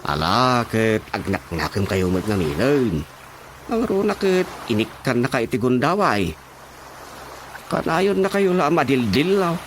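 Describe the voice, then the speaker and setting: A man's voice: mid-range voice, animated, measured pace, slight background noise, natural voice. A man acting in an Ilocano radio drama as an exasperated, stern village chairman, scolding expressively. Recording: music playing behind the voice. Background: prominent background music.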